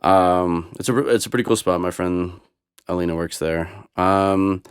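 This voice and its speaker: A man's voice: sits low, steady tone, moderate pace, clean tone, no room sound, clean studio sound. A young man whose first language is Russian, chatting casually as a podcast guest, rambling with lots of fillers and restarts. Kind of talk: casual conversation.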